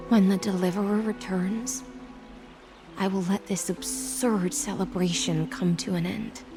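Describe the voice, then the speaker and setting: An actress plays a world-weary woman narrating a video game tale. She is slow, measured and theatrical, melancholic and grand, her phrase endings softening over clear background music. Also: mid-range voice, steady tone, natural voice. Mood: wistful.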